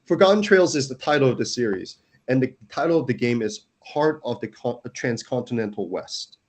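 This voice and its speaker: A man's voice: medium pitch, lively intonation, moderate pace. A man, a startup founder, earnestly explaining his company as an interview guest, often restarting his sentences. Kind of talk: casual interview.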